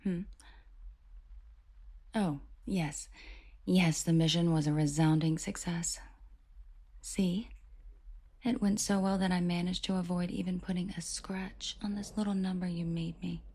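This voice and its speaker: A woman's voice: on the low side, steady tone, measured pace, long pauses, warm tone. A woman playing a confident villainess in a romantic audio roleplay, teasing, doting and protective, with intimate, whispery sweet talk. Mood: reassuring, fond.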